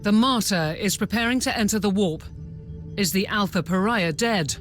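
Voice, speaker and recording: A woman voicing an earnest, serious character in a dark science-fiction war game, with dramatic delivery. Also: mid-range voice, moderate pace, soft background music, natural voice. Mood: concerned, formal. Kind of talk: dramatic video game voice acting.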